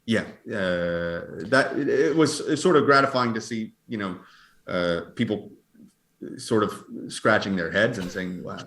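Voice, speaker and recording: A man answering interview questions conversationally as an astronomer, in a hesitant, halting, hedging manner. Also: lowish voice, a bit bright. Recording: roomy, echoing sound.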